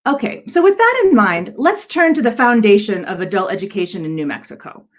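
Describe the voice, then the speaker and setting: A woman's voice: mid-range voice, very animated, a little fast. A woman giving an earnest slide presentation on a video call as a director, with a hesitant delivery. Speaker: adult education director presenting. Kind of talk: virtual slide presentation to an audience. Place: virtual event on video call.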